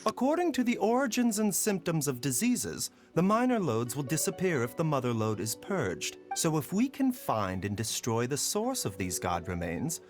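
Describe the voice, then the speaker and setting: A man voicing a calm, learned physician in a video game, explaining things in a measured, gentle way. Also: a little high, faint music behind.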